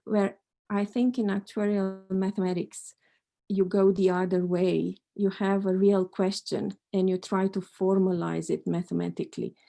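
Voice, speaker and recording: A woman's voice: mid-range voice, level tone, normal speed, gravelly, warm tone. A middle-aged woman from Romania, a mathematics professor, answering questions in a thoughtful, hesitant way with many restarts. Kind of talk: panel talk answering questions.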